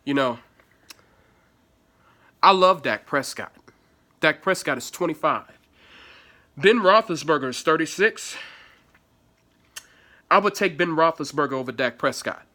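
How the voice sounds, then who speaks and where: A man's voice: fairly high pitch, animated, unhurried, pauses often, bright, forceful tone. A man with a stuffy nose from allergies, giving blunt, profane sports commentary and reading an article aloud.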